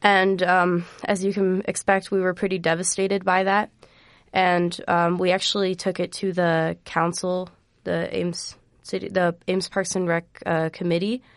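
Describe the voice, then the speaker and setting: A woman's voice: on the low side, little pitch movement, measured pace, no room sound, clean studio sound. A young woman from Iowa, a guest on a radio show, speaking earnestly with a lot of hesitant fillers. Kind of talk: radio interview. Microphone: studio microphone.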